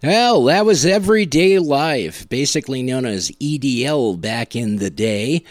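A middle-aged man casually hosting a music show, talking in a wry, self-deprecating, halting way. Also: medium pitch, expressive. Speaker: podcast host and musician.

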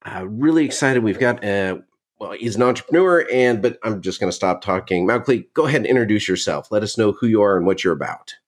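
A man's voice: fairly low pitch, lively intonation, a little fast. A man hosting a podcast, asking friendly, rambling questions in casual talk. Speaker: podcast host.

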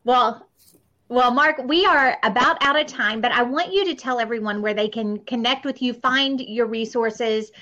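Female interview host, middle-aged, voice a bit high, earnest conversational delivery, dull recording. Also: normal speed, bright, forceful tone. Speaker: podcast host. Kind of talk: casual interview conversation about faith.

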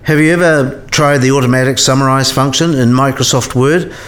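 A man acts out a learned professor in a radio play. His pitch is average for a man, and his delivery is precise and didactic, with dry, gently sceptical teasing. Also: steady tone, fairly quick, close to an everyday voice. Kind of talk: scripted radio play dialogue.

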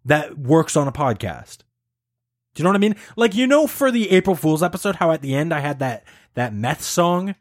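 A man's voice: a little high, sing-song, normal speed. A young man co-hosting a podcast, chatting casually with friends, teasing and laughing often. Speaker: podcast host. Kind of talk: casual banter among friends.

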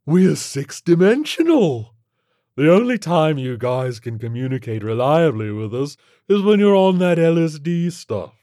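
A man's voice: on the high side, expressive, unhurried, dark tone, no echo, clean studio sound, pitched above the performer's own voice. A man voicing a huge tentacled alien in a story, brash, amused and breezily rude, with a folksy, profane manner. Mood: brash, matter-of-fact.

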